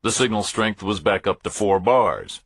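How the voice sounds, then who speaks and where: A man's voice: fairly low pitch, lively intonation, normal speed, slightly rough, dry recording. A man narrating a first-person thriller audiobook in a scripted storytelling style.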